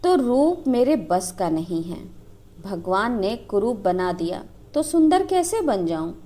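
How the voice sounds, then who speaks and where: A woman's voice: medium pitch, big pitch swings, unhurried, warm tone. A woman voicing a devoted, easily wounded village husband with earnest emotion in a storybook reading. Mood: wounded despair. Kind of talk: dramatised Hindi storybook reading.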